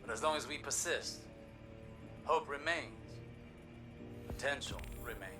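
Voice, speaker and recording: An actor playing an older scholarly warlock in a video game, grave and proud, with formal, measured, erudite speech. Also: male voice, mid-range voice, music in the background, lightly acted. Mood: reassuring.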